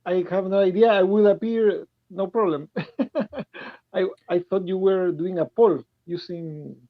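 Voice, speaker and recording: Man, Chilean Spanish accent, caller, hesitant, restarts, high gravelly voice, phone-like line. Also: fairly even intonation, a little slow, no echo. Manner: hesitant, many restarts and nervous laughs. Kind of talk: halting call-in question. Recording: tinny phone-like call line.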